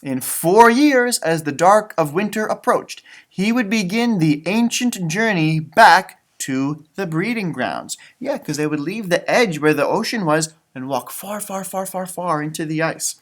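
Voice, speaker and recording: A man reading a storybook aloud for children, expressive and dramatic, breaking off for chatty, simple asides and sound effects. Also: high voice, a little slow, hardly any pauses. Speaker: elementary school music teacher reading storybooks aloud.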